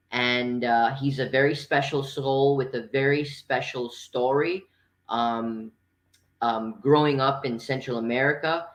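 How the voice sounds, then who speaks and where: A man's voice: medium pitch, fairly bright tone, highs cut off. Hesitant male host in an echoey room. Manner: hesitant, many fillers. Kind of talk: event introduction. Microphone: video call.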